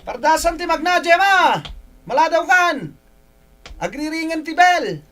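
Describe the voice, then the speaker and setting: A woman playing a loud, mocking schoolchild in a radio drama, calling out taunts. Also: very high-pitched, lively intonation, a little slow, full character voice. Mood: taunting. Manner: shouting taunts.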